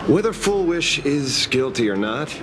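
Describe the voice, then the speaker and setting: A man playing a wry, world-weary but sharp private detective in a video game, speaking in a dry, musing way. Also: mid-range voice, sing-song, measured pace, noisy background. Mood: wry, focused.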